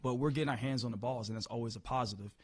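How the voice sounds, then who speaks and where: A hoarse-voiced man coaching football, answering press questions upbeat, often repeating words as he restarts. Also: mid-range voice, racing, no room sound. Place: media room.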